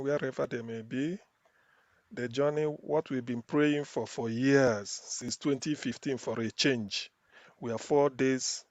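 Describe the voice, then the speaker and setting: A man's voice: medium pitch, no echo. Man, panelist, rallying and hopeful, dry close sound. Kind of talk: hopeful political remarks.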